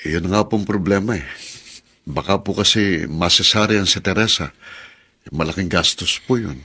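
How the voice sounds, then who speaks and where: An actor playing a respectful, worried grown son with a husky voice in a Filipino drama. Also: male voice, low voice, moderate pace, bright, forceful tone, heavily acted. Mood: worried, deferential. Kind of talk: Filipino radio-style drama acting.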